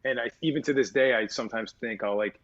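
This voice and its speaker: A man's voice: mid-range voice, quick pace, fairly bright tone, lo-fi sound. A man speaking as a voice actor in a casual interview, with a mid-register voice, rambling through fillers and restarts and swearing casually. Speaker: voice actor guest.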